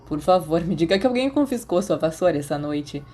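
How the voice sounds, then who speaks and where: Woman as awkward teenage schoolboy, Brazilian accent, understated, hesitant, lowish mellow voice, audiobook dialogue. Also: lively intonation, brisk, soft background music. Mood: dry.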